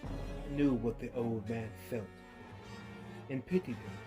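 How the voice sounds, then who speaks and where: A man giving a theatrical horror reading as an unhinged male murderer, gloating and feverish, dropping to hushed murmurs and rising to loud outbursts with low chuckles. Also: takes it slowly, music in the background. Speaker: narrator reading a horror tale. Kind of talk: dramatic storytelling reading.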